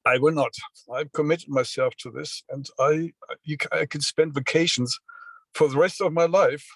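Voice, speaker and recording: A man in his sixties giving a motivational business talk over a video call, halting often with fillers and restarts. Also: on the high side, fairly bright tone, no room sound. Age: 60s. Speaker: seminar speaker.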